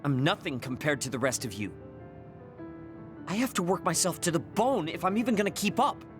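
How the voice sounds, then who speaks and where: Guy voicing young anime game fighter dude, touchy pride, blunt n intense, pitch all over, music under it. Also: lively intonation, brisk, close to an everyday voice. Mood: frustrated, despairing. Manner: brusque, intense, self-critical. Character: proud, insecure young male platoon fighter. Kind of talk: English anime game dub acting.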